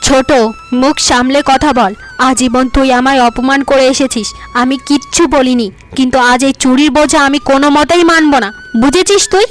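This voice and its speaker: A woman voicing a gentle elder wife in a cartoon, wrongly accused and pleading. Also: sits high, fairly quick, close to an everyday voice. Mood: indignant. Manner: hurt, pleading.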